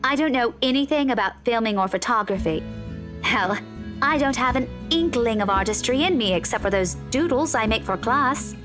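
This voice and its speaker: A woman's voice: a little high, expressive, normal speed, over music, natural voice. A woman playing a frank, playful young student in a visual novel, talking casually and candidly. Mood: sincere, encouraging. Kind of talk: visual novel game voice acting.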